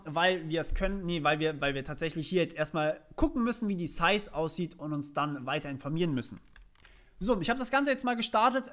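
A young man with a notably high-pitched voice hosts a programming tutorial. He walks through each step in a casual, conversational way, posing questions to the viewer and answering them himself. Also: a bit bright. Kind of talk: informal screencast tutorial, explaining code step by step.

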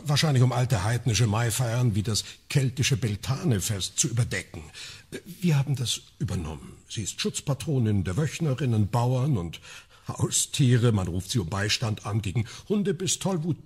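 A husky, wryly learned clergyman. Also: medium pitch, expressive, normal speed, darkish tone. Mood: lecturing, wry. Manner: pedantic, wry, lecturing in elevated wording. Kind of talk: German radio crime drama acting.

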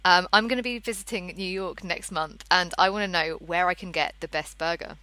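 A woman's voice: lowish voice, fairly even intonation, fairly quick, bright, forceful tone, poor audio. A woman hosting a podcast, speaking in a casual, conversational interviewing style.

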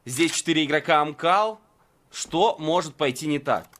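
A man commentating live on a video game match, conversational and swinging into excited play-by-play. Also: fairly high pitch, moderate pace. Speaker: esports commentator. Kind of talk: live game commentary in a two-caster duo.

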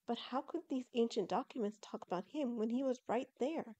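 A woman's voice: fairly high pitch, moderate pace, warm tone, voice pushed up, natural voice. A woman voicing a devoted, fussy young male fox creature in an audio story, earnest and full of questions. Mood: puzzled.

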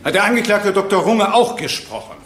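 Stern judge, echoey and animated. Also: male voice, high-pitched, fairly quick. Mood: stern, probing. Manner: formal, authoritative, probing. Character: stern, impatient male presiding judge. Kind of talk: German radio courtroom drama acting. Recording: echoey courtroom-like room.

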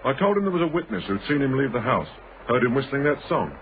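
A man's voice: mid-range voice, big pitch swings, fast talker, natural voice. An actor playing a deferential male subordinate making a clipped, matter-of-fact report in a radio thriller. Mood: dry, matter-of-fact. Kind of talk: British radio thriller acting. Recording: thin, phone-like, hissy old radio recording.

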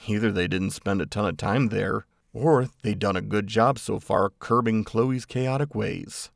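A man narrating a scripted story in a gravelly, husky voice. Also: fairly low pitch, unhurried, no room sound.

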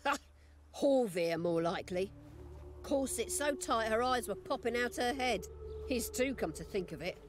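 A woman's voice: on the high side, animated, moderate pace, close to an everyday voice. An actress playing a fiery, plain-spoken townswoman in a video game, with an earthy, blunt manner. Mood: scornful, indignant.

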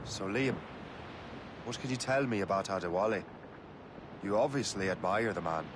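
An actor playing a brash, cheeky young sailor-assassin with an Irish accent in a video game cutscene. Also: male voice, on the low side, lively intonation, moderate pace, lightly acted. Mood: curious. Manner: cheeky, defiant. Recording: clear sea and ambience noise behind.

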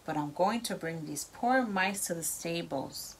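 A woman voicing a kindly male gardener from a picture book, in a confiding, gentle way. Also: fairly low pitch, lively intonation, measured pace, slight background noise.

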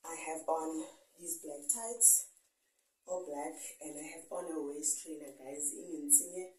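Low-voiced woman vlogging from a guest house. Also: warm tone, reverberant, far from the mic, poor audio. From South Africa. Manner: chatty, upbeat, addresses viewers directly. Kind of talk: casual vlog talk to camera. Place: bedroom of a guest house, indoors.

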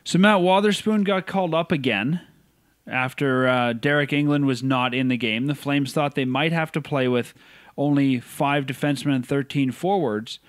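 A Canadian man co-hosting a podcast, chatting casually and reacting quickly to his co-host. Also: medium pitch, dry recording, studio quality. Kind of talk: casual sports chat.

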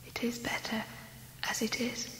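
An actress playing a frail, resigned, childlike young wife in a radio drama, whispery, with long reverb. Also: female voice, measured pace, a bit bright, lightly acted. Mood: weak, resigned. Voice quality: breathy, whispery.

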